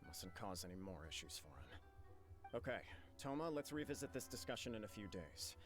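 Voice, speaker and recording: Deep-voiced, earnest young clan heir, quiet music under him. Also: male voice, big pitch swings, fairly quick. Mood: resolved. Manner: earnest and self-blaming. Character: young man heading troubled noble clan. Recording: game audio with faint music.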